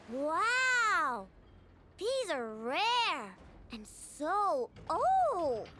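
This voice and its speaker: A woman voicing a small, excitable, childlike female game companion who talks in a casual, cheeky, exclamatory way. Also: extremely high pitch, a little slow, a little hiss or hum, exaggerated voice. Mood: excited, cheeky. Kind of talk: animated video game voice acting.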